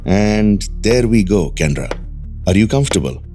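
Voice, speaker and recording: An actor playing a calm, persuasive research doctor in an audio drama, speaking in a measured, polite, soothing way. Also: male voice, fairly low pitch, music in the background. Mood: soothing, hypnotic.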